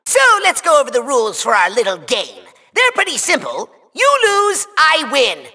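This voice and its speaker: Shrill nasal cartoony game villain, petty boastful little overlord, whiny gloaty, swoops everywhere, super sharp. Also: male voice, wildly swooping pitch, moderate pace, creaky, full character voice. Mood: mocking. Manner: theatrical gloating, taunting, whiny outbursts. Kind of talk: over-the-top video game villain acting.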